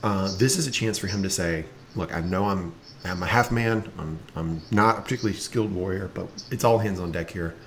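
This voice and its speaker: A man hosting a podcast in casual chat, playful and animated, with a slight rasp in his voice. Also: low-pitched, fairly quick, slight background noise. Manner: playful, animated, laughs often. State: slightly raspy from lost voice. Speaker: podcast host.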